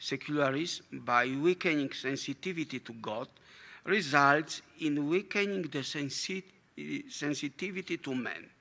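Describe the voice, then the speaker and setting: A man's voice: fairly high pitch, a little slow, creaky, sharp tone. A man from Poland with a Polish accent, a native Polish speaker, reading a prepared academic lecture in English with occasional slips and self-corrections.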